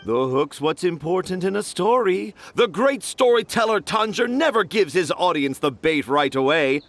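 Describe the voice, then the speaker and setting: A vain, dreamy storybook author who speaks in grand, flowery phrases. His voice sits a touch high and swings dramatically up and down. The delivery is theatrical game dialogue. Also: animated, moderate pace, a bit bright, no room sound. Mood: grandiose. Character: pompous, dreamy male children's author.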